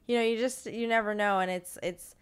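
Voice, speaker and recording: A woman co-hosting a casual podcast chat, speaking informally and haltingly with conversational asides. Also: mid-range voice, fairly even intonation, smooth. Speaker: podcast co-host, cannabis industry professional.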